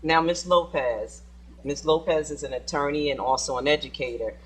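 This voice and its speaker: Bright, husky-voiced male talk show host. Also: on the high side, normal speed, poor audio. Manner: probing, informal, repeats guests' answers back. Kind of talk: moderating a panel discussion. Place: TV studio with a live audience.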